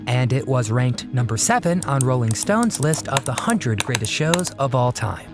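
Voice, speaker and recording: A narrator reading a scripted documentary-style piece in a brisk, informative way. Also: mid-range voice. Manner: brisk, even, informative delivery. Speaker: video narrator. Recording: narration with background music.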